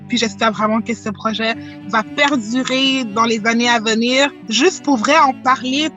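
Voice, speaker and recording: A woman's voice: on the high side, muffled recording. A young woman giving an earnest, heartfelt testimonial about a youth program. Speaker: program participant. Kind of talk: interview testimonial. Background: soft background music.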